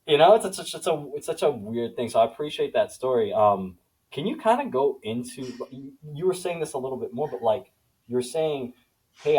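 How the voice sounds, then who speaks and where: A man's voice: medium pitch, dark tone, poor audio. A man who grew up in the Philippines, hosting a podcast, chatting casually and rambling with many restarts. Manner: rambling, repeats words, restarts questions. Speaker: podcast host. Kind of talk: casual interview chat.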